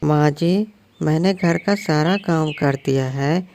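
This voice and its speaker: A timid young sparrow bride from a cartoon storybook, pleading and nervous. Her voice is high and squeaky with a strange, thin colour, as a male narrator puts her on. She speaks slowly, stammering when scared. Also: darkish tone, a little hiss or hum.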